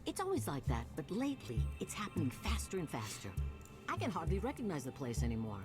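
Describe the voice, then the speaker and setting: A woman's voice: sits low, huge pitch swings, fast talker, over music. An actress playing a chatty, suspicious older woman who rambles in conversation, as voice acting for a video game.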